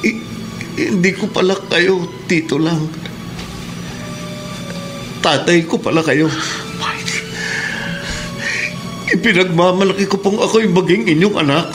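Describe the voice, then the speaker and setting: Actor as respectful, higher-voiced young son. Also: male voice, moderate pace, long pauses, natural voice. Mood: moved. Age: young adult. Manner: polite, earnest. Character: respectful, forgiving young son, new junior policeman. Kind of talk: radio drama acting in Tagalog. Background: dramatic music.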